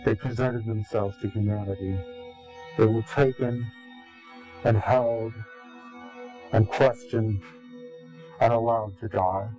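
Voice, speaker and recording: A man giving an interview in a slow, grave, deliberate way, pausing often between phrases. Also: on the low side, fairly even intonation, dark tone, soft background music. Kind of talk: ominous recorded interview.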